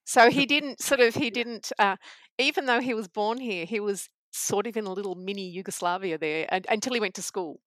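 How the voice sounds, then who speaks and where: A woman in casual conversation, reflective and earnest, pausing with frequent ums. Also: mid-range voice, a bit bright, no echo, rough recording.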